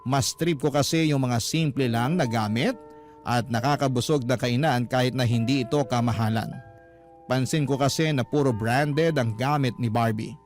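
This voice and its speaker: A man's voice: mid-range voice, normal speed, soft background music, natural voice. An actor playing a sincere, wistful Filipino man confiding his love story as first-person narration in a radio drama. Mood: earnest, reflective. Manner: confiding, earnest.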